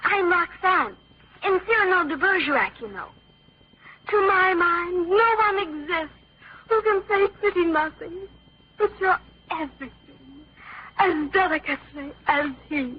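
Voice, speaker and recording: A woman's voice: very high voice, measured pace. An actress playing an affected, coquettish, theatrical teenage girl in an old-time radio drama. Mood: self-important. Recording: dull, faintly noisy old radio recording.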